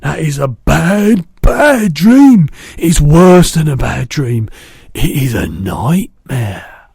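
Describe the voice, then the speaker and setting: A man voicing a big friendly male giant who speaks in jumbled grammar and cries out loudly when excited. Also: on the high side, slow, gravelly, slightly rough, no echo, studio quality, voice pushed up, exaggerated voice. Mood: distressed.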